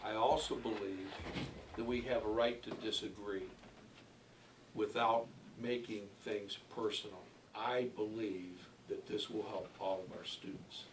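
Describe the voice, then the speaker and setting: A man's voice: on the low side, fairly even intonation, measured pace. American male superintendent, formal meeting remarks, roomy distant pickup with background noise. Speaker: school district superintendent. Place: indoor board meeting room. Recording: echoey, noisy meeting room, distant mic.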